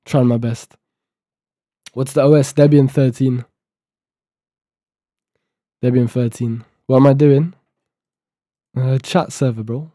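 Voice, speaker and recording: A man's voice: medium pitch, fairly even intonation, slow, pauses often, warm tone, no echo, clean studio sound. An eighteen-year-old man streaming live, thinking aloud and half-reading documentation to himself as he codes, with a sore throat. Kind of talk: casual live-stream talk while coding.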